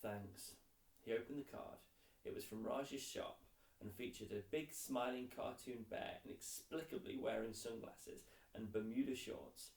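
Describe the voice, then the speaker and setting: A man reads a children's story aloud in a creaky, fairly low voice. The sound is roomy and set back from the microphone, with a clear echo around each phrase. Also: steady tone, moderate pace, a little mellow. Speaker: teacher reading a children's novel aloud. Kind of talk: scripted storybook narration. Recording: roomy echo, distant mic.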